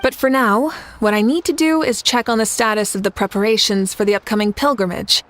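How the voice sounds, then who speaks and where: A woman playing a composed, commanding leader in a fantasy game, speaking in a measured, assured way. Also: mid-range voice, fairly even intonation, fairly bright tone, lightly acted. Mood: composed authority. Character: composed, commanding adult woman leader, amused yet dutiful. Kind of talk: animated fantasy game acting.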